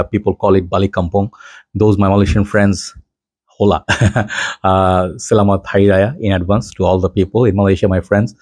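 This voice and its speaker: A man's voice: fairly low pitch, dark tone, dry recording. A man from Bangladesh giving a rambling, chatty fragrance review to his channel, filling gaps with "you know" and laughing between points. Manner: frequent fillers. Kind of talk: casual chat to camera. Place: home in Dhaka.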